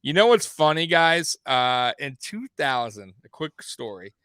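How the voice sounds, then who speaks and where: A man's voice: medium pitch, very animated, measured pace, sharp tone, clear, resonant tone, no echo. A man in his forties from Miami hosting a casual sports talk show, rambling with many restarts. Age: 40s. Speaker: podcast host.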